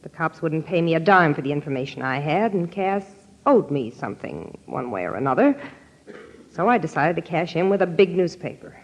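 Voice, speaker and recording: A hard-boiled, edgy dame from a vintage radio crime story. She speaks in a lowish voice with tough, slangy urgency. The recording is muffled and lo-fi. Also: lively intonation, fairly quick. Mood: hard, defiant. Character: hard-boiled, jittery young gangster's girlfriend with a secret. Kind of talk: old-time radio crime drama acting. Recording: dull, lo-fi old radio recording.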